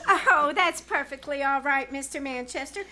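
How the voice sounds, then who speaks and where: Tactless teen matchmaker female actor, high voice, theatrical eagerness, old-time radio comedy, very noisy live recording. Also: normal speed, lightly acted. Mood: eager, flustered. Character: eager, tactless teenage daughter playing matchmaker. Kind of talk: 1940s radio comedy acting. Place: live community theater stage.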